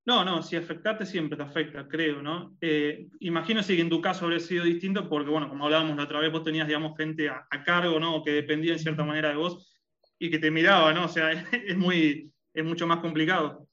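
A man's voice: a little high, steady tone, fast, bright, forceful tone, lo-fi sound. A man with a Rioplatense accent, a guest in a conversational interview, talking with many fillers, restarts and hesitations. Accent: Rioplatense Spanish. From Argentina and Uruguay.

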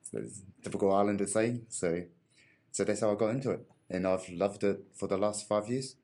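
Easygoing low-voiced man. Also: a little fast, darkish tone. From Hong Kong. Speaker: guest player. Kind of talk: casual chat.

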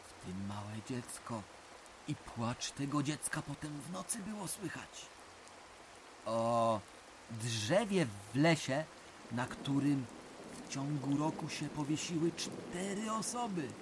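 Slow-talking older village storyteller. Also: male voice, medium pitch, noisy background. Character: older village uncle, folksy storyteller recalling ghost tales. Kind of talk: audio drama acting, reminiscing narration.

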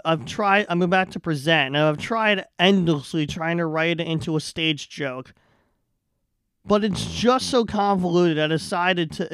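A man's voice: on the high side, a bit bright, clean tone, no room sound. A man hosting a solo podcast, talking casually and stumbling through stories in a rambling way. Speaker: podcast host and stand-up comedian.

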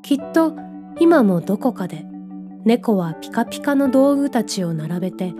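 A woman playing a gentle, dreamy young narrator in a scripted radio drama, with a soft, reflective storytelling manner. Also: a little high, sing-song, normal speed, over music. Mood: reflective, tender.

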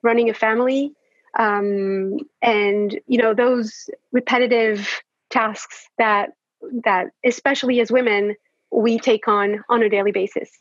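A woman from France, a life coach speaking English as a guest, thoughtful and measured with pauses. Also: on the high side, steady tone, dry recording, lo-fi sound. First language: French. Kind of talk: podcast interview.

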